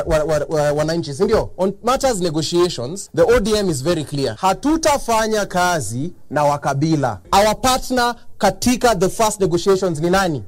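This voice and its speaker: A man, a party youth leader, giving a combative, defiant press statement. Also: high voice.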